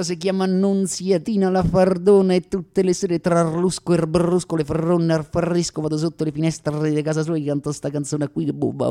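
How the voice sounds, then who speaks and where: An actor playing a boastful Roman street bully in a comic theatrical monologue, with a thick Roman accent and a swaggering, declamatory slangy delivery. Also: male voice, sits high, a little mellow. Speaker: stage actor performing a one-man radio play.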